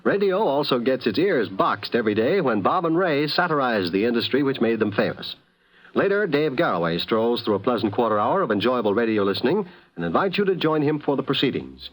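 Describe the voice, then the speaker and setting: A man's voice: mid-range voice, big pitch swings, fairly quick, poor audio. A man with an American accent reading a scripted 1950s network radio promotion as the announcer.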